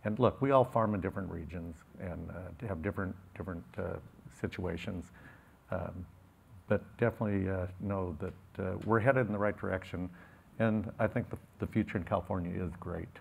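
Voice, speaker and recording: A man's voice: low voice, steady tone, normal speed, vocal fry, slightly rough. A man who grows crops speaking on a conference panel, folksy and hesitant with many fillers. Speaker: grower panelist.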